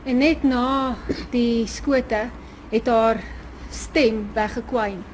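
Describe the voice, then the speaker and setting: A woman's voice: fairly high pitch, steady tone, slow pace, rough recording. A South African woman, a native Afrikaans speaker with that accent, giving careful, polite formal testimony in court. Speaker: witness testifying. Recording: courtroom recording with background noise.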